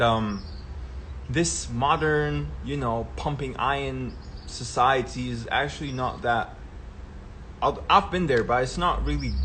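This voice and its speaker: Young man, casual livestream host, relaxed tag-question delivery, noisy ambience behind. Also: mid-range voice. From Germany. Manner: relaxed, tags sentences with "right?" and "yeah?". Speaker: live stream host. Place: outdoors at a travel spot.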